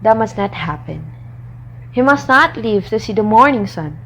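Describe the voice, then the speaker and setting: A woman playing an ambitious, ruthless noblewoman in a radio drama, deliberate and commanding in delivery. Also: medium pitch, lively intonation, a bit bright, slight background noise, low-quality recording. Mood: cold, commanding. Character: Lady Macbeth, ambitious, ruthless scheming noblewoman wife.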